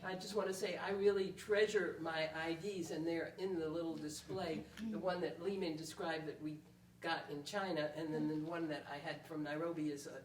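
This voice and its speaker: A woman explaining things thoughtfully to an audience, with hesitant, measured phrasing and frequent pauses. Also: sits low, fairly even intonation. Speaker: panel speaker and performer. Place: indoor room at a public library event. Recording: roomy, distant mic, faint noise.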